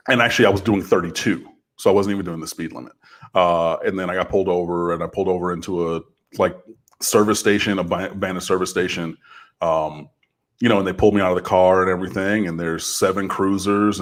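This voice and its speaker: A man with a big, fairly low voice speaks on a podcast in casual talk. He is earnest and builds to a forceful, impassioned delivery.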